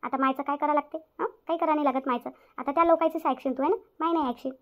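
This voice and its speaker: A woman's voice: high-pitched, steady tone, very fast, fairly bright tone. A woman voicing a stern, self-pitying middle-aged mother-in-law in a cartoon family drama, nagging with repeated questions in a Vidarbha Marathi accent. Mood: scolding, bitter. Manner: nagging, repetitive rhetorical questions. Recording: dull lo-fi audio.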